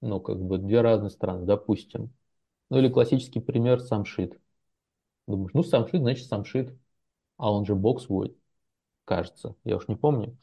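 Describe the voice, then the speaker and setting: A man's voice: on the low side, moderate pace, gravelly, slightly rough. A man, a professional translator, talking casually as an interview guest, telling stories loosely with fillers and restarts. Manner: relaxed storytelling with many fillers and restarts.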